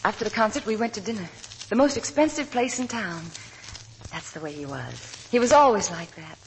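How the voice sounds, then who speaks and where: An actress playing an earnest, shy young working woman, tender and wistful, speaking softly in a 1940s radio drama. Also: mid-range voice, lively intonation, a little fast, slight background noise, close to an everyday voice, rough recording. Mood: wistful, reminiscing. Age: young adult. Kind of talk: 1940s radio drama acting, dialogue and narration. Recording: vintage 1940s radio broadcast, music behind.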